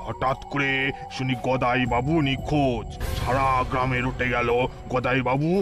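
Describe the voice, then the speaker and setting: A man's voice: mid-range voice, flat delivery, a little slow, music in the background, lightly acted. A man voicing a timid, obliging village henchman in a cartoon, hesitant and deferential toward others. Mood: puzzled. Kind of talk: cartoon dialogue.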